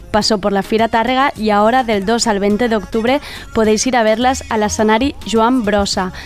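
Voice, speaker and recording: A woman hosts a radio chat with an eager, chatty manner and a fairly high voice. She sounds enthusiastic and laughs easily, with faint music underneath. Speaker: radio host.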